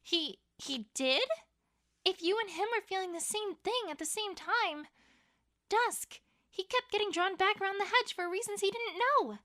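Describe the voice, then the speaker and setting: A woman's voice: extremely high pitch, lively intonation, measured pace, fairly bright tone, heavily acted. A woman voicing an anxious, bookish young female pony in a dramatised story, with a stammering, dramatic manner. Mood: hesitant realization. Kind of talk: dramatised fan fiction reading.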